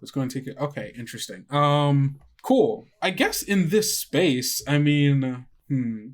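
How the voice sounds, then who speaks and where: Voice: mid-range voice, animated, unhurried. A game master in casual tabletop game chat, thinking aloud in a hesitant way.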